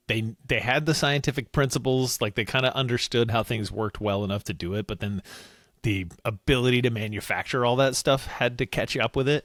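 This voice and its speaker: A man's voice: medium pitch, fairly bright tone, dry recording, studio quality. A young man co-hosting a podcast, enthusiastic and jokey in casual chat, swearing easily. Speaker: podcast co-host.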